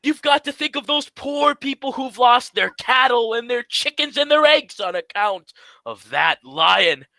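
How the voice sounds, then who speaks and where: A man's voice: extremely high pitch, animated, a little slow, vocal fry, bright, forceful tone, no room sound, heavily acted. A man playing a bossy, cajoling, self-serving straight man in a vintage-style comedy radio double act. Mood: goading.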